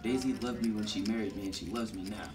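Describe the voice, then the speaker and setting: A man voicing a blustering, jealous husband in a puppet show, indignant and quick to take offense. His voice sits low. The sound is roomy and picked up from far off. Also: steady tone, crowd noise, lightly acted. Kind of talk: amateur puppet show reading.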